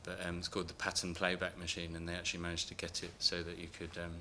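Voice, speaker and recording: A man in the audience with a very low, flat voice and a bright, forceful edge. He rambles and corrects himself, and faint noise sits behind him. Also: rapid-fire. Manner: rambling, loose, self-correcting. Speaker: audience member asking a question. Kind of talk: casual audience remark.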